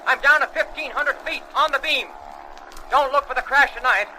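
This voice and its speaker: Reckless veteran flyer, very high, piercingly bright voice, clipped slangy orders, vintage aviation drama. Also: male voice, normal speed, a little hiss or hum, exaggerated voice, poor audio. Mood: urgent, brave. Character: cocky, reckless male veteran pilot, self-sacrificing.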